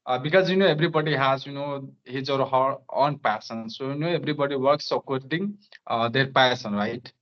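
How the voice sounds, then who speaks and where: A man's voice: medium pitch, brisk, dull audio. A young man from Nepal, a guest in a casual conversation, speaking hesitantly with many fillers.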